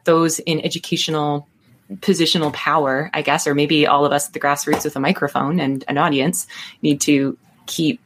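A woman's voice: low voice, little pitch movement, normal speed, a little hiss or hum. A woman educator speaking earnestly in a podcast panel discussion.

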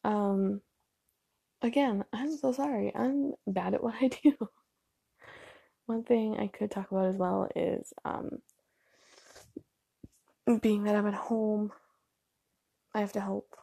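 A young woman from Canada rambles on at home, apologizing and filling pauses with "um" and "like." Her voice is heavily gravelly and mellow, a little husky, and her nose is stuffy. The sound is dry and close. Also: mid-range voice, fairly even intonation, no echo. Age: young adult. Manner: chatty, self-deprecating, filler-heavy with ums and likes. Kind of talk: rambling casual monologue.

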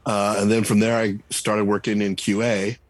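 A middle-aged man, a game writer and designer, talking casually in an interview and telling relaxed stories with frequent restarts. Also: lowish voice, moderate pace, dry recording. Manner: relaxed storyteller, many restarts and fillers.

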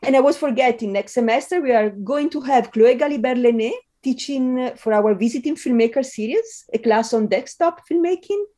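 A middle-aged woman from Italy with an Italian accent, giving conversational interview answers with frequent ums and pauses. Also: sits high, dark tone. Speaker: interview guest, film scholar.

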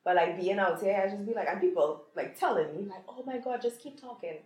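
A woman's voice: mid-range voice, a little fast, a little mellow, roomy sound, distant mic. A woman with a Trinidadian accent chatting casually and animatedly, laughing often. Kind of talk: casual chat.

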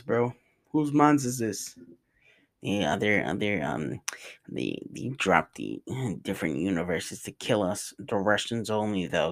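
A man with a New York and New Jersey accent, rambling casually in slangy vlog chat from his room. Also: low-pitched. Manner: slangy, rambling, says bro a lot. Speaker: vlogger. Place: bedroom in a rented cabin.

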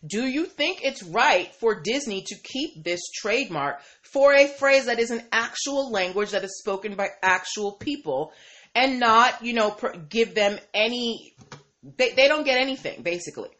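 A woman's voice: on the high side, lively intonation, moderate pace, fairly bright tone. A woman hosting a live stream, chatting casually with viewers, reading their comments aloud and tossing questions back, with lots of fillers and "right?". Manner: conversational, filler-heavy, keeps asking "right?". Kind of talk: casual chat with a live audience.

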